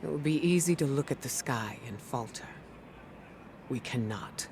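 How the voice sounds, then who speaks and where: An actress playing a composed, scholarly veteran leader in a video game, with measured, formal, softly earnest delivery. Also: female voice, rumbling low, expressive, slight background noise. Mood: somber, resolute. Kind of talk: dramatic video game narration.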